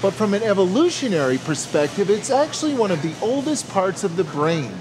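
Lively, animated male cooking show host. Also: sits high, a little fast, crowd noise. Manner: enthusiastic, playful, talks straight to camera. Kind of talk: scripted cooking show presenting. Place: home kitchen set and grocery store. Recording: music bed behind voice. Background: background music.

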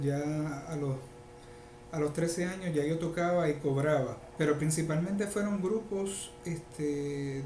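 Higher-voiced Puerto Rican man, echoey room. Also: a little mellow. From Puerto Rico and the mainland United States. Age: middle-aged. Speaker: interview guest, luthier and musician. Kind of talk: casual interview talk. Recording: echoey room, faint background noise.

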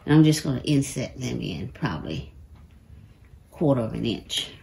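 A woman's voice: extremely low pitch, big pitch swings, moderate pace, vocal fry, dark tone. A middle-aged woman talking through a woodworking project step by step in her home workshop, chatty and casual, often saying "y'all". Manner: chatty, explains each step, says "y'all". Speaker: DIY woodworking creator. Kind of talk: casual how-to narration while building. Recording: echoey workshop room.

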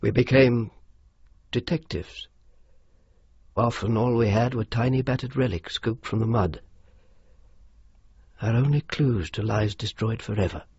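An actor playing an earnest, determined army officer in a radio drama, speaking in a formal, measured, persuasive way. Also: male voice, medium pitch, darkish tone. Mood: grave. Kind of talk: radio drama dialogue and narration. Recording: muffled radio recording with clear noise.

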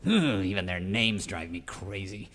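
An actor playing a sour, scheming convict villain in a parody radio serial, with a sarcastic, oily manner. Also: male voice, deep voice, steady tone, normal speed, pushed and bright, slight background noise. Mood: sulking, bitter. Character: scheming, sour jailed villain with a wheedling streak.

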